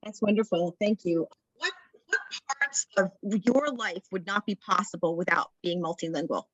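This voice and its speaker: A woman's voice: mid-range voice, normal speed, no echo, lo-fi sound. A woman interviewer asking questions and affirming the guest's answers politely.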